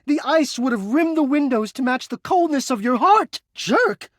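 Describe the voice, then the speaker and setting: A man's voice: extremely high pitch, animated, moderate pace, no room sound, studio quality. An actor voicing a sentient home ventilation system in a video game, an eloquent, dramatic male romantic with florid, formal delivery. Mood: hurt, furious. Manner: florid, theatrical, formal wording.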